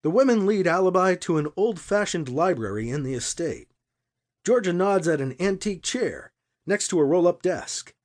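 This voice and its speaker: A man's voice: sits high, lively intonation, normal speed. A man reading fiction aloud as an audiobook narrator, in a dramatic scripted storytelling style.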